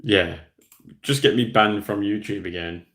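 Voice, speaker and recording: A man's voice: lowish voice, unhurried, a bit bright. A man, host of a hobby channel, chatting casually on a livestream, rambling and reading out viewer comments. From Australia.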